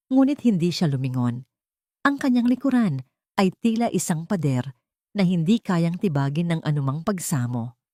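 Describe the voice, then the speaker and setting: A woman tells a dramatic story in a low voice. Her reading is solemn and emotive, clearly scripted. The sound is very dry, with almost no room around it. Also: dark tone, no echo. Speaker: story narrator.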